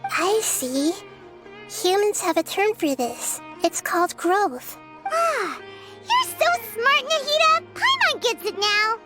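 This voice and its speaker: A squeaky little floaty sidekick, super high and bouncy, calls herself by name, loud game music behind. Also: female voice, slow pace, heavily acted. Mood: curious. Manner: talks about herself in third person, excitable. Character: tiny floating girlish companion, chirpy and curious.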